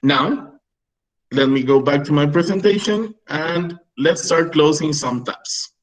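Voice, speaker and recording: A man's voice: on the high side, animated, unhurried, low-quality recording. A man in his thirties whose first language is Spanish, teaching a webinar for English teachers over a video call and often checking in with "right?". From Peru. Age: 30s. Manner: explanatory, frequent "right?" checks.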